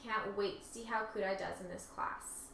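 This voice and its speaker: A woman's voice: medium pitch, steady tone, normal speed, gravelly, a bit bright. A woman co-hosting casually, switching between reading messages aloud and chatting. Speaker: co-host reading out listener reviews. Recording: echoey room, distant mic, faint noise.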